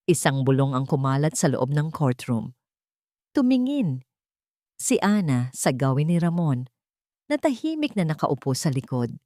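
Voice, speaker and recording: A woman's voice: low voice, very animated, unhurried, long pauses, mellow, no echo, clean studio sound. A woman reading a scripted dramatic story as a storyteller.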